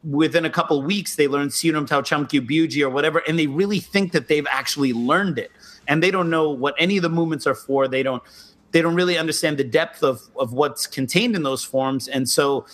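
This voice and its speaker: A man co-hosting a casual martial arts podcast, explaining his teaching approach in a measured way. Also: fairly high pitch, a bit bright. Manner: explanatory, measured, mildly critical. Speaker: co-host, martial arts instructor.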